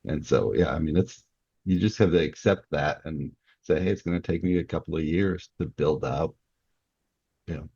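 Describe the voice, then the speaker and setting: A man speaking as a guest author in a relaxed interview, explaining things through examples. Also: extremely low pitch, normal speed, gravelly, husky, dry recording. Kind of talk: relaxed interview conversation about writing. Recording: dull, muffled lo-fi audio.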